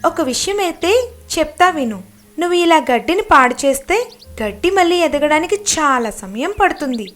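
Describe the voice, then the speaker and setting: Woman as a reproving, high-voiced deer. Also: big pitch swings, normal speed, fairly bright tone, faint music behind. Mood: scolding. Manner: reproving, earnest. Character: sensible deer scolding another about grazing.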